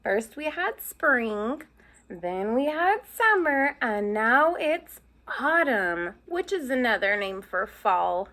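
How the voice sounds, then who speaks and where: Slow, lively woman teacher reading aloud. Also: on the high side. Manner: explains pictures with asides to young listeners. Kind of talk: storybook read-aloud for children.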